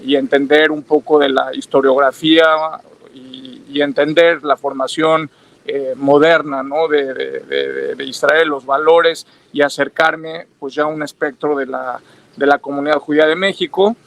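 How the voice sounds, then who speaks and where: A man's voice: fairly high pitch, fairly bright tone. A Mexican man giving long, reflective interview answers, hesitating often with fillers and restarts. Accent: Mexican Spanish. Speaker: interview guest.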